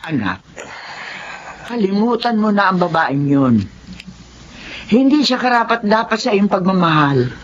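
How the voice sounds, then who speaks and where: A woman's voice: mid-range voice, very animated, a little slow, long pauses. Old mother in radio drama, consoling but firm, voice moves a lot, dull audio. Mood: concerned, firm. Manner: solicitous, firm advising. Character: elderly protective mother consoling her grown son. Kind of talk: Filipino radio drama acting.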